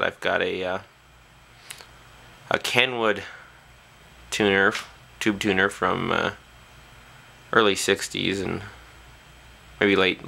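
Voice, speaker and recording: A man's voice: sits low, vocal fry, bright, forceful tone. A man talking casually and off the cuff as a hobbyist presenter, hesitantly thinking aloud at an indoor workbench on a camera's built-in mic. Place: small indoor workshop at a bench.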